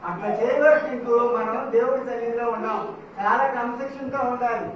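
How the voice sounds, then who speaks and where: High-voiced woman teaching in an echoey hall. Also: fairly quick, highs cut off. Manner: instructive, gently scolding. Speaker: children's Bible teacher, puppet show host. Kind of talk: live puppet show dialogue, teaching children. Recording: echoey hall from far off, noisy.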